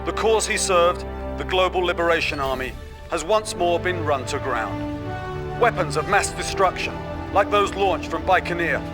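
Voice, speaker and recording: A man's voice: a little high, lively intonation, moderate pace, lightly acted. An actor playing a proud, solemn male news narrator announcing military victory in a video game. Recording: loud game music underneath.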